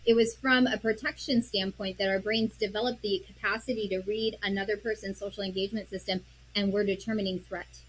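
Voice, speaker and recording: A woman guest on an interview show, earnestly explaining how parents can respond calmly, often acting out what she would say to her child. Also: medium pitch, brisk, a little hiss or hum, poor audio. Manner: earnest, explains with examples and quoted self-talk; drops to a soft, quiet voice when modeling calm. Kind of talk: conversational interview.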